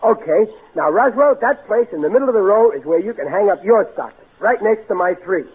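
An actor playing a blustering, soft-hearted working-class American family man, folksy and bumbling, in an old-time radio comedy. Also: high voice, animated, fairly quick, over music. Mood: earnest, coaxing. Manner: folksy, bumbling, exasperated, ungrammatical. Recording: tinny, noisy vintage radio recording.

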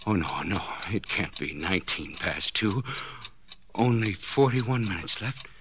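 A trapped, guilt-ridden husband in an old radio thriller. His low voice swings sharply as he stammers and repeats himself in breathless desperation. The dated recording is dull and band-limited. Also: lively intonation, unhurried, a little hiss or hum. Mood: frantic, tense. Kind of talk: 1940s radio suspense acting, interior monologue.

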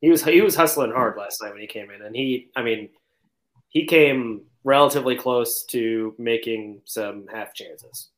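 A man's voice: mid-range voice, normal speed. A man co-hosting a sports podcast, chiming in with short remarks. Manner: short interjections. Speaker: podcast co-host. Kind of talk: casual sports chat.

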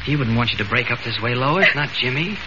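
An actor playing a steady, reassuring reporter who is secretly a superhero, in a 1940s radio serial style. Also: male voice, medium pitch, fairly quick, natural voice. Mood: calm, reassuring. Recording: noisy, muffled vintage radio recording.

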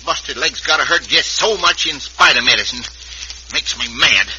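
A man's voice: fairly high pitch, expressive, moderate pace, pushed and bright. An actor playing a crotchety older frontier father in a Western radio drama, grumbling in a folksy rural American Western accent. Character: crotchety old frontier father laid up injured. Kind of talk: 1950s Western radio acting. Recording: dull, faintly hissy old radio recording.

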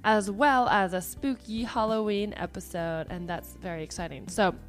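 A woman hosting a podcast in a casual, chatty way, her voice hoarse and partly lost after travel, with many fillers. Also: mid-range voice, moderate pace, faint music behind. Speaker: podcast host.

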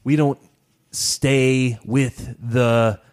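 A man's voice: medium pitch, fairly even intonation, extremely slow, darkish tone. Rambling laid-back man hosting a podcast. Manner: rambling, laughs often, many restarts. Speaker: podcast host. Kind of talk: casual movie podcast chat.

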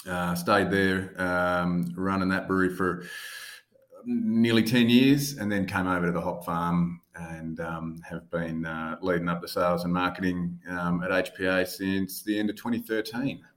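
A man's voice: low-pitched, unhurried. An Australian man from Australia, a guest chatting casually with jokes and plenty of hesitation. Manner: relaxed, joking, hesitant fillers. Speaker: guest, sales and marketing manager. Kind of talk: casual podcast chat.

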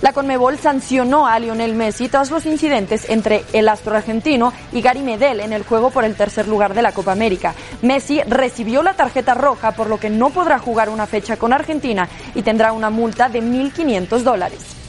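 A woman anchors a sports news segment, reading headlines in a measured delivery. Her voice is a bit high. Faint music plays under her. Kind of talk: scripted news read.

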